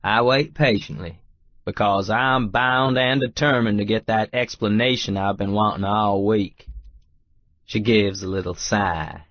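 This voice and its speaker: A man's voice: fairly low pitch, steady tone, unhurried, dry recording, lo-fi sound. An actor narrating a romance audiobook as a stubborn, wry, lovestruck Texas oilman. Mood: wry, determined. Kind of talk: first-person audiobook narration with dialogue.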